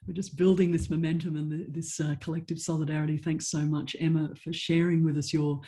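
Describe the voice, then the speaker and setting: Middle-aged woman hosting a panel on a video call, low flat mellow voice, lots of restarts. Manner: warm, halting with restarts. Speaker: panel moderator.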